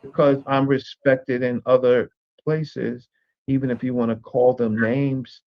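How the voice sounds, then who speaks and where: A man's voice: mid-range voice, level tone, unhurried. A man in a heated podcast debate, joining by video call, insulting and talking over the others while repeating himself. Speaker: podcast guest. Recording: dull lo-fi call audio.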